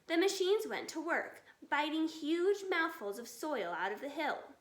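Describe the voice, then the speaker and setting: A woman reading a children's storybook aloud expressively and deliberately, in a roomy, echoing space. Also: high voice, very animated. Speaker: storybook reader.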